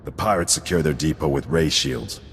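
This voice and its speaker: A man playing a gruff, stern, disciplined army sergeant in a sci-fi video game, clipped and blunt in his military delivery. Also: extremely low pitch, normal speed, a bit bright, slight background noise. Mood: matter-of-fact. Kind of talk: scripted video game acting. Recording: long reverb, game music behind.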